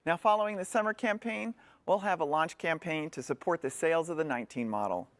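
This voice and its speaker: A woman presenting at a corporate sales meeting with a crisp, scripted delivery. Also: sits low, fairly quick, no room sound, faint music behind.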